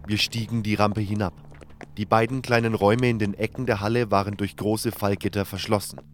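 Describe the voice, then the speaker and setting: A man's voice: on the low side, little pitch movement, a little fast, a little hiss or hum. A man narrating a scripted fantasy adventure story in the first person.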